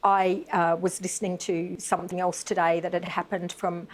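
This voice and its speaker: Middle-aged woman, lowish voice, passionate advocate talking on air with fillers. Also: flat delivery, normal speed, a little hiss or hum. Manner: emphatic, impassioned, many fillers. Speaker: bereaved mother and veterans' advocate. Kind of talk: live TV interview.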